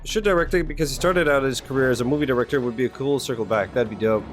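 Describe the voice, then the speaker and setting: A man talks casually as a streamer reacting to a game, with a medium-pitched voice. He is irreverent and jokey and swears freely. Faint music plays behind him. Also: quick pace. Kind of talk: casual chat. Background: video game cutscene audio and music.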